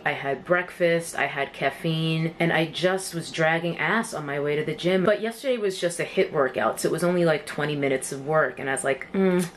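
A young woman talking candidly and casually to camera, like a vlogger, indoors at home with the camera at arm's length. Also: low voice. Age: young adult. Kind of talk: casual vlog chat to camera. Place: small home rooms, indoors. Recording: noticeable background room noise.

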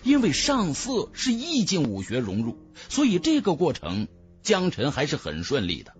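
A man's voice: a little high, wildly swooping pitch, moderate pace, soft background music. A man narrating a fantasy novel as a scripted audiobook, with emphatic, dramatic storytelling.

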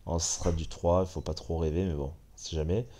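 A man's voice: low voice, a little fast. A man with a French accent and a stuffy head cold, chatting casually as he comments on a mobile game. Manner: relaxed, chatty, many fillers. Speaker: gaming channel host.

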